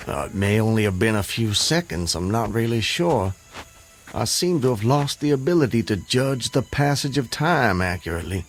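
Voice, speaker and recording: A man's voice: fairly low pitch, animated, normal speed, lightly acted. An actor playing an earnest, haunted man who escaped his hanging, recounting it in formal, vivid language for an audio drama. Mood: defensive, unsure. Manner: literary, vivid, formal narration. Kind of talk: audio drama monologue and dialogue. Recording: music under the voice. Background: music.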